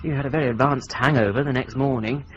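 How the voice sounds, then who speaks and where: A man's voice: medium pitch, fairly even intonation, fast talker, highs cut off, background noise, natural voice. A man playing a wry young man.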